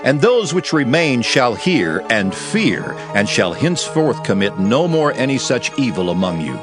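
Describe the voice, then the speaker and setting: Expressive male scripture narrator over music. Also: mid-range voice, measured pace. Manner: solemn, declamatory. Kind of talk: dramatized scripture reading.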